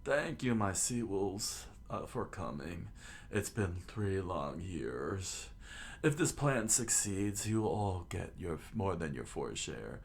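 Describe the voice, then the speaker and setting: A man's voice: deep voice, sing-song, slow, creaky, a little hiss or hum, heavily acted. A man voicing a calculating pirate captain addressing his crew in a dramatic, commanding manner.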